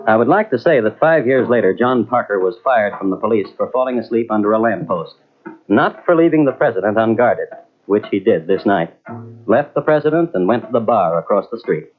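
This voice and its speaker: A male narrator telling an old radio mystery in a measured, wry way. His voice sits somewhat low. The audio is tinny and narrow, like a radio broadcast copied onto an old cassette. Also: lively intonation, faint music behind. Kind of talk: scripted narration.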